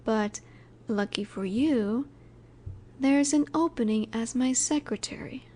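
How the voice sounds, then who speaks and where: Soft, mellow, high-voiced female mafia boss acted by a woman. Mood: wry, businesslike. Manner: soft, unhurried, soothing yet in command. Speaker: audio roleplay voice actor. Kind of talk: intimate scripted audio roleplay, addressed to listener.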